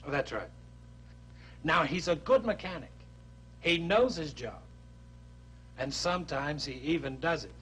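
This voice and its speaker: A weary, frustrated army captain. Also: male voice, fairly high pitch, lively intonation, unhurried, pauses often, fairly bright tone, slight background noise. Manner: weary, exasperated explaining. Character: frustrated male army captain, company commander. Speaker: company commander. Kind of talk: 1960s educational film acting.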